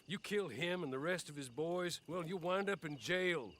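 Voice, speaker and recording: An actor playing a genteel, persuasive fixer in a western game, with a formal, emphatic manner. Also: male voice, fairly high pitch, normal speed, fairly bright tone, a little hiss or hum, close to an everyday voice. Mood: urgent, persuasive. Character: genteel, persuasive male fixer in the Old West. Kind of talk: dramatic game cutscene acting.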